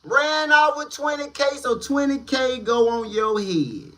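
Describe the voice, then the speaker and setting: High bright voiced guy doing slangy street news talk, slow and drawn out. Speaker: commentary channel host. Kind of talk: casual street-news commentary.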